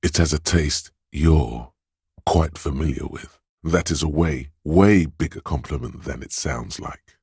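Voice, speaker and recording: A man voicing a suave, insinuating inner voice of persuasion in a video game, narrating in a measured, knowing, gently coaxing way. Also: dry recording, clean studio sound, heavily acted. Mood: knowing, confiding. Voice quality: very deep, husky. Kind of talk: scripted video game narration in second person.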